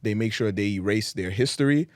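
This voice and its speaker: A man's voice: lowish voice, fairly quick, no room sound, studio quality. A man from Haiti co-hosting a football podcast, making his point earnestly and at length in casual chat. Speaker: podcast co-host.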